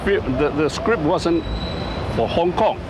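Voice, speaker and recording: A man recounts his work on a film production in a measured, matter-of-fact way. He is recorded outdoors by the water, and loud wind and surf nearly bury his voice. A boat passes somewhere behind him. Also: a little high. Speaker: interviewee, film production worker. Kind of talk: reflective recollection.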